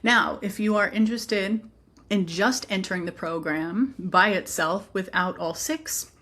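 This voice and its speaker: A woman's voice: medium pitch, a little slow, a bit bright. A woman teacher presenting her program informally, explaining earnestly with conversational asides.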